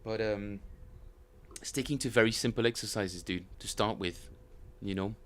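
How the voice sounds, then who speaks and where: A man with a French accent, chatting casually and self-mockingly with viewers as he streams his bass practice, often chuckling. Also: moderate pace, a bit bright. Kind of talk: casual livestream chat.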